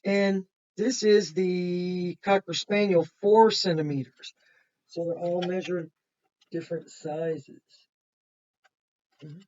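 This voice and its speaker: A woman's voice: lowish voice, fairly even intonation, takes it slowly, long pauses, mellow. Distant, muffled woman teacher reading aloud. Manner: simple explanatory phrasing, pointing things out, repeating and asking questions. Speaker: kindergarten teacher. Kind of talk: reading a picture book aloud to children with explanatory asides.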